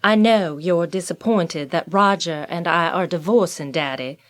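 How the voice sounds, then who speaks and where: An actress playing a determined, defiant grown daughter in an audio soap drama. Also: female voice, lowish voice, measured pace, close to an everyday voice. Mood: pleading, firm. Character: adult daughter, married, determined, pleading then defiant.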